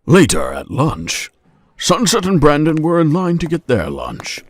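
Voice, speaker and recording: Lively male storybook narrator. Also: a little high, a little slow, no room sound. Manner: lively, expressive reading. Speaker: narrator of a fan-made visual novel. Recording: narration over background music.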